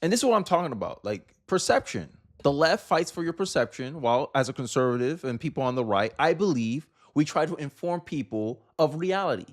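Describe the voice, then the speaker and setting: A man's voice: a little high, lively intonation, a little fast, hardly any pauses. A man hosting political commentary, talking casually and emphatically to viewers with repeated phrases and rhetorical questions.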